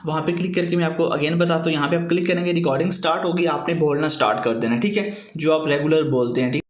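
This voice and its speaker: Male tutorial host, slightly high voice, informal repetitive explaining, recorded in a very echoey room. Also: steady tone, fast talker. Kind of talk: informal app tutorial narration. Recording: echoey room, dull muffled sound.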